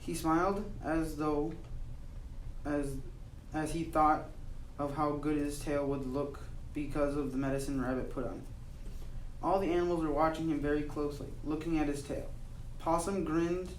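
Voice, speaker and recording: A man's voice: on the high side, steady tone, normal speed. A young man, a student, reading a folk tale aloud in an earnest way, with some restarts. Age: young adult. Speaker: student narrator. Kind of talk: read-aloud storytelling. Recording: echoey room, distant mic, faint hiss.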